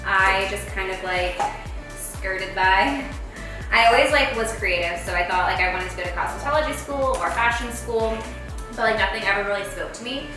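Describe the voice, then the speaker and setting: A woman's voice: medium pitch, moderate pace. Late-20s woman vlogger, bubbly chat, far from the mic with music under. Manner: chatty, bubbly, heavy use of "like". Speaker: lifestyle vlogger. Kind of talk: casual chat while cooking. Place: large home kitchen, indoors. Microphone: far camera mic. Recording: echoey kitchen from far off, background music.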